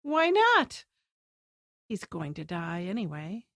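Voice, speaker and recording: A sultry femme fatale villain voiced by a woman for a noir radio drama. She is coy and taunting, speaking slowly at a medium pitch in a dry recording. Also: huge pitch swings, fairly bright tone, no echo, exaggerated voice. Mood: cold threat.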